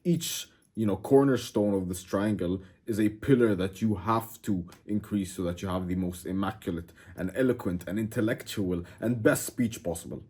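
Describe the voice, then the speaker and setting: A man's voice: lowish voice, moderate pace, few pauses, warm tone, breathy edge. A man from Egypt whose first language is Arabic, talking straight to camera in a casual, brash, emphatic way and often saying "bro". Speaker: self-improvement YouTuber. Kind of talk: casual direct-to-camera talk.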